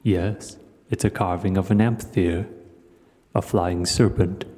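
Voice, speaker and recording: A man voicing an ancient, wise and gentle dragon in an audio roleplay, speaking slowly and reflectively to the listener. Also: low voice, fairly even intonation. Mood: thoughtful, explaining. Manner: slow, measured, reflective storytelling. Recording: cavernous long reverb.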